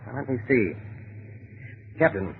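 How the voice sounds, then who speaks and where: A thin, phone-like vintage recording with light noise carries a learned male doctor playing sleuth in a 1940s radio drama. He is composed and shrewd, laying out each deduction with measured deliberation at a middling pitch. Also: very animated, creaky. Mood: composed, analytical. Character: calm, shrewd, educated male doctor turned amateur sleuth. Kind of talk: 1940s radio detective acting.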